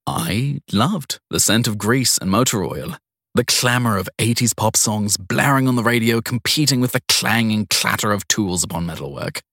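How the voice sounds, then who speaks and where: A man narrating a first-person crime story as an audiobook, in an expressive storytelling manner. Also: fairly low pitch, normal speed, no room sound, studio quality.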